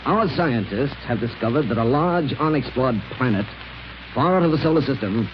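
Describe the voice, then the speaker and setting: An actor playing a scheming male space villain posing as a heroic captain, commanding and measured in 1930s radio serial style. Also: mid-range voice, animated, natural voice. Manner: commanding, measured, smoothly deceptive. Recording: noisy, dull 1930s radio recording.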